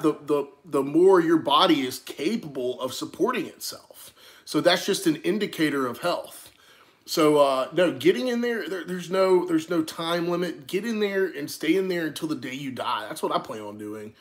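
A young man speaks earnestly in a casual chat, often repeating a word as he gets going. His voice is a touch higher than most men's. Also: expressive, moderate pace. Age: young adult. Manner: earnest, repeats words when starting. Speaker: podcast co-host.

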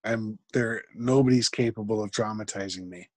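A man, a guest in an interview, talking reflectively and haltingly, with frequent restarts. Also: fairly low pitch, dry recording, clean studio sound. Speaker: podcast guest. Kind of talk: conversational interview.

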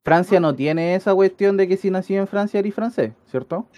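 A man with a slightly high voice and a Chilean accent, recorded dry and close. He banters casually about football, slangy and joking, sometimes playing at being an announcer. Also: moderate pace, darkish tone, no room sound. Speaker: football commentator on a group broadcast.

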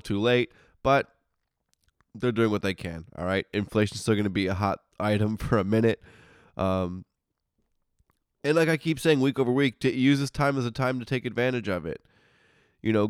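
A low-voiced man talks casually as a solo podcast host. His nose is stuffed up from congested sinuses, though the voice stays smooth and clean in a dry, close recording. He is informal and grumbles in asides, often cutting into his own sentences. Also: no echo.

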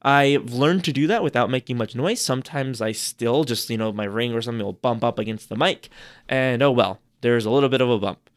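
A man giving casual advice on public speaking on a podcast, chatty and rambling, restarting his sentences often, his voice a little hoarse. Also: medium pitch, a little fast. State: slightly hoarse. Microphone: podcast microphone.

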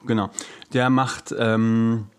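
A man speaks as a podcast co-host in an easy, colloquial style. His pitch is average for a man, and he mixes English slang into his casual chat. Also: little pitch movement, takes it slowly, smooth.

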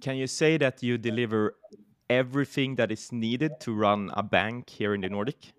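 A man hosting an interview podcast, pausing often on filler words. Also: mid-range voice, clean tone, no echo, studio quality. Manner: hesitant, many filler words. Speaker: podcast host.

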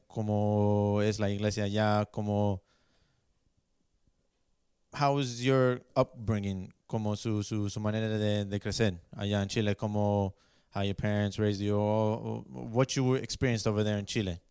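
A man's voice: on the low side, steady tone, a little slow, a little mellow, smooth, dry recording, studio quality. A young man hosting a casual bilingual interview, switching between Spanish and English mid-sentence. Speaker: host interviewing his parents.

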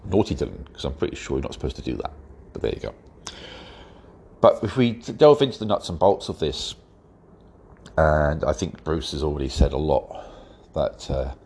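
A man hosting his own podcast, congested and stuffy-nosed from hay fever, talking in a wry, measured way and a bit slowly. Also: a bass voice, darkish tone, slight background noise. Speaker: podcast host. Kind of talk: solo podcast commentary.

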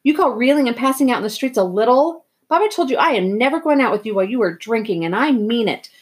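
A woman's voice: on the high side, very animated, fairly quick, darkish tone, slightly rough. Confident teenage girl for a novel reading, played by a woman, direct, insistent, strong-willed. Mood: indignant, firm.

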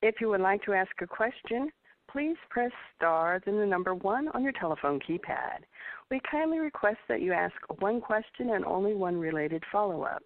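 A woman's voice: mid-range voice, lively intonation, moderate pace, no room sound. A woman working as a conference call operator, reading scripted instructions to participants. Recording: dry, tinny phone line.

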